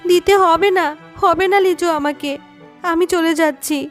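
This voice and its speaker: A very high, gentle voice for a worried fox mother in a children's cartoon acted by a woman. She pleads and patiently explains, like a poor widow looking after her child. Music plays behind her. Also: steady tone, normal speed. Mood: hurt, reproachful. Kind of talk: Bengali children's cartoon dubbing.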